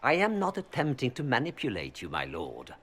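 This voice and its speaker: A male actor playing a haughty, scheming merchant in a video game, with formal, silky, measured politeness. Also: mid-range voice, wildly swooping pitch, a bit bright, husky, exaggerated voice. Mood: smooth haggling. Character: haughty, scheming foreign male merchant seeking noble influence.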